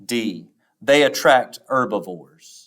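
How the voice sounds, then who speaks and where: A man reading test questions and answer choices aloud like a teacher, in a measured, deliberate way with pauses after each option. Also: medium pitch, lively intonation, a bit bright.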